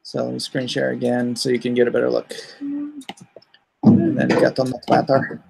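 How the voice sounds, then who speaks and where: A man's voice: medium pitch, sing-song, normal speed, creaky, darkish tone, slight background noise, low-quality recording. Casual, chatty man on a livestream. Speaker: comic artist hosting a crowdfunding livestream.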